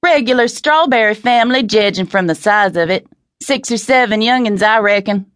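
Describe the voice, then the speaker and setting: A woman voicing a sharp-tongued backwoods mother in an audiobook, in blunt Southern rustic dialect. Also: medium pitch, normal speed, no room sound, studio quality, pitched above the performer's own voice, lightly acted. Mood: tart, complaining. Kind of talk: audiobook character reading.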